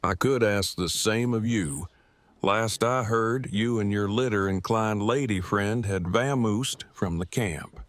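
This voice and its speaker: Man acting a stilted, low-voiced formal survivor. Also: no echo. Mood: matter-of-fact. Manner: stilted, wordy, formal deliberate diction. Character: verbose, formal, earnest man in love, post-apocalyptic survivor. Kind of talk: audio drama acting.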